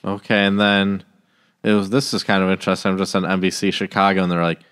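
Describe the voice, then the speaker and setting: A man with an American accent and a slightly low voice talks as a podcast co-host. His delivery is conversational and informal, picked up on a close studio microphone. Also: level tone, fast, fairly bright tone, smooth. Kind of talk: casual conversation. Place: small podcast room with acoustic panels. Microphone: close studio mic on stand.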